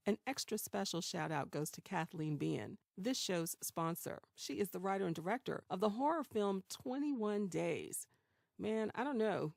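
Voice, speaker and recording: A woman's voice: medium pitch, creaky, a little mellow, dry recording, studio quality. A woman hosting an audio theater show, reading a scripted sign-off with informal asides. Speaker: show host and announcer.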